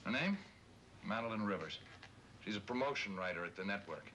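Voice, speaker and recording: A man's voice: fairly low pitch, expressive, fairly quick, sharp tone. An American man acting a bitter, sardonic, weary suburban husband of forty in a 1970s television drama. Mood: wry, weary. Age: forties. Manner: sarcastic, weary. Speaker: actor in a television film. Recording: noisy old TV film soundtrack.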